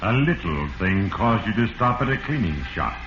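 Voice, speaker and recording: An actor playing the stern, sinister voice of Fate, narrating gravely and portentously as an accuser in 1940s radio style. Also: male voice, on the low side, big pitch swings, moderate pace, fairly bright tone, highs cut off. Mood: grave accusing. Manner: grave, portentous, addresses the culprit directly. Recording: echoey, noisy old radio recording.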